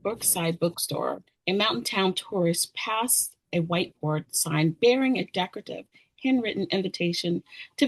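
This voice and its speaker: A woman's voice: fairly low pitch, low-quality recording. A woman narrating stage directions at a screenplay table read, sometimes stumbling over and repeating words.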